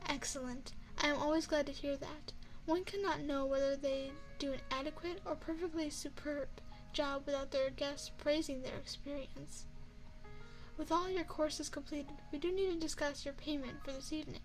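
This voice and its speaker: A high, feminine voice belongs to a courtly vampire chef acted by a woman in an ASMR roleplay. She speaks with formal, refined poise and a teasing, seductive, quietly predatory air. The voice sits close to the microphone, with faint music underneath. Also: steady tone, moderate pace, lightly acted, low-quality recording. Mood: gracious, poised. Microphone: close binaural microphone.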